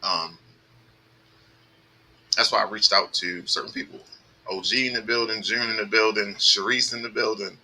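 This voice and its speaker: A man's voice: lowish voice, steady tone, moderate pace, pauses often, sharp tone, a little hiss or hum, poor audio. A man hosting a podcast in casual chat, loose and joking, talking over his guests. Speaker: podcast host.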